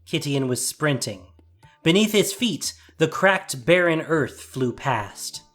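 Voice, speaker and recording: A man giving a scripted, dramatic fantasy narration as storyteller, measured and suspenseful. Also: fairly high pitch, expressive, a bit bright. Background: music.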